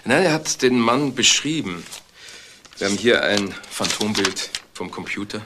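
An actor playing a matter-of-fact police detective in a crime drama. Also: male voice, on the low side, animated, measured pace. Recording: loud background noise with clicks.

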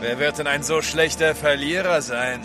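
An actor playing a smug, menacing mutineer in an audio drama, with a mocking, taunting manner. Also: male voice, on the high side, moderate pace, music in the background, lightly acted. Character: smug, menacing male mutineer seizing a ship. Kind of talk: German radio play acting.

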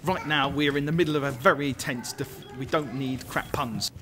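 A man's voice: medium pitch, fairly even intonation, normal speed, noisy background. A man chatting over voice call while gaming, teasing his friends with dry banter. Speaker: gamer. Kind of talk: casual chat over voice call.

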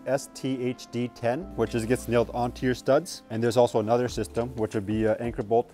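A man's voice: mid-range voice, little pitch movement, a little fast, warm tone. Male builder, thirties, practical and easygoing explainer, faint music bed. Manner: matter-of-fact, agreeable. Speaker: builder, concrete forming expert. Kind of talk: casual explanation on site. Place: outdoor residential construction site under a raised house.

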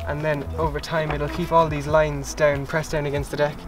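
A man's voice: on the high side, moderate pace. A young man giving a casual, matter-of-fact tour of his small sailboat outdoors in a harbour, recorded by a camera held nearby. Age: young adult. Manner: relaxed, matter-of-fact explaining with fillers. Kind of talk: casual explanatory vlog. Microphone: camera held by someone nearby. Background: music.

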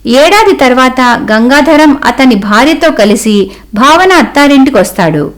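Female narrator, scripted storybook tale, fairly high pitch, very animated intonation. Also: unhurried.

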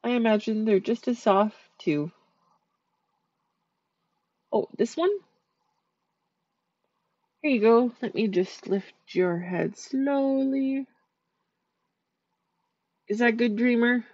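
Soft-spoken dream eater spirit, voiced by a woman. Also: a little high, takes it slowly, pauses often, no echo, highs cut off. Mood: gentle, soothing. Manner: soft, gentle, soothing. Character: sweet shy female dream eater spirit. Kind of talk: ASMR sleep-aid roleplay.

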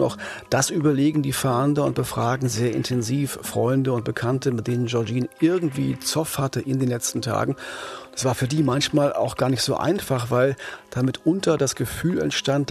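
A man narrates a true-crime podcast as a reporter. His voice is medium in pitch with a lot of gravelly fry. He explains things in a serious, orderly way. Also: a little mellow.

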